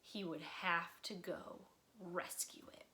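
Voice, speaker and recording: A woman reading a picture book aloud for children, expressively, with dramatic pauses and drops into breathy near-whispers for suspense. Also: fairly low pitch, steady tone, pushed and bright. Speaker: volunteer storybook reader. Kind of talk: children's read-aloud storytime narration.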